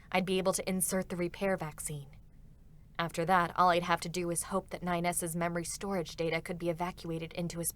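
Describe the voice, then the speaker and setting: A woman's voice: on the low side, lightly acted. An actress playing a young, determined female android soldier, earnest and emotional, in a dramatic first-person reading. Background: music.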